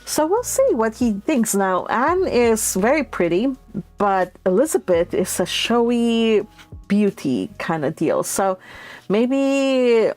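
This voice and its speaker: A woman's voice: on the high side, big pitch swings, slow, a little mellow, faint music behind. A woman hosting a read-along, reading aloud and chatting informally with her listeners. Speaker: read-along host reading a classic novel and commenting. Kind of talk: storybook narration and casual livestream chat.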